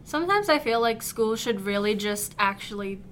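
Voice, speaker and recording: A woman's voice: a little high, expressive, normal speed, a little hiss or hum. A teenage girl from India, a student co-host, chatting with many fillers. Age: teens.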